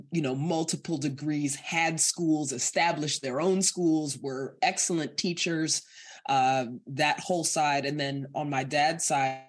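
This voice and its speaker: An American woman speaking as a podcast guest, earnest and emphatic, laughing lightly between points in candid conversation. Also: extremely low pitch. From United States.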